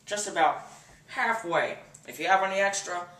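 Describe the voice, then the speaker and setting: Teen boy, deadpan mock cooking host, bright voice, echoey kitchen, camera a bit far off. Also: high voice, normal speed. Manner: earnest instructional tone with absurd steps. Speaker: host of a home cooking parody. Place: home kitchen, indoors. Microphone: camera mic across the kitchen.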